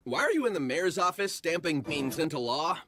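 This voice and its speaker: Bright, breezy young cartoon hero. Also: male voice, on the high side, big pitch swings, brisk, exaggerated voice. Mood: incredulous. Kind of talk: cartoon comedy acting.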